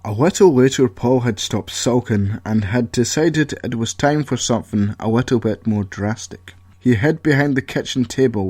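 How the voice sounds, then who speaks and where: A man's voice: medium pitch, a little mellow, no room sound. A man reading a scripted audio story as its narrator.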